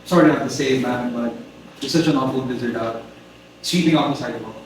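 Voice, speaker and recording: A man playing a formal, deferential butler with a measured, dryly solemn manner in an amateur play reading. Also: medium pitch, lightly acted. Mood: apologetic, formal. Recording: distant, very echoey room with hiss.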